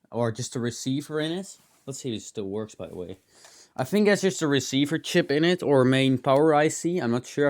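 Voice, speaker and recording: A man with a slightly dry, strained throat gives casual hands-on commentary, rambling and thinking aloud with muttered asides and mild exclamations. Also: fairly low pitch. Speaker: host of a hobby electronics teardown video. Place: indoors in a cluttered room at home.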